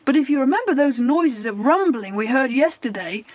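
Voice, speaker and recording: A woman voicing excitable, earnest children on an adventure in an audiobook. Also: high voice, moderate pace, no room sound. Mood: puzzled. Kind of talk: audiobook character dialogue.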